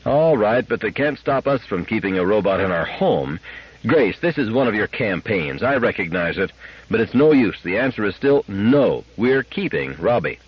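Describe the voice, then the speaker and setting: A man playing a stubborn, gruff, exasperated husband and father in an audiobook story. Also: on the low side, very animated, normal speed, no echo. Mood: irritated, firm. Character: stubborn, irritable husband and father, secretly soft. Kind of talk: audiobook character acting. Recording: dull lo-fi radio-like audio.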